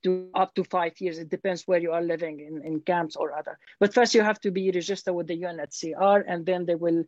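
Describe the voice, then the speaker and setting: A man's voice: high-pitched, fairly even intonation, brisk, darkish tone, no room sound, faint music behind. A man, a guest answering interview questions hesitantly, with many fillers and restarts. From Syria. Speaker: guest, scholar. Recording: dull, dry lo-fi audio.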